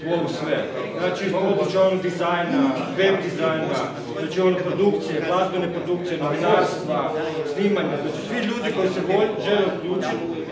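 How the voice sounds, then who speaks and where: A man in his thirties talks quickly and ramblingly to a meeting, stacking fillers as he goes. He is caught from a distance in a very echoey room. Audible crowd murmur sits underneath. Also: sits high, steady tone. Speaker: organizer of the meeting. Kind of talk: casual address to a meeting.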